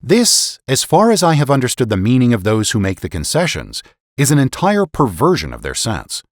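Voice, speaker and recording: A man's voice: mid-range voice, wildly swooping pitch, normal speed, no echo, studio quality. A man giving a dramatic reading of historical political essays in a formal, emphatic, oratorical manner. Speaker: podcast host and narrator.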